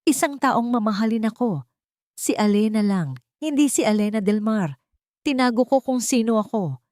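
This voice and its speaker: A woman with a Filipino accent voicing a betrayed, quietly resolute heiress in an audio love story, soft but steady and bitter. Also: on the high side, normal speed, dry recording, clean studio sound, pitched above the performer's own voice, close to an everyday voice. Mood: aching.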